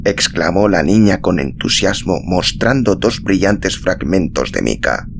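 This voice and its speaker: Deep creaky guy narrating a story, slow and measured, lively intonation, noisy recording. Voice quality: deep, gravelly. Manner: slow, measured, dramatic pauses. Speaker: audiobook narrator. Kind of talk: scripted literary narration.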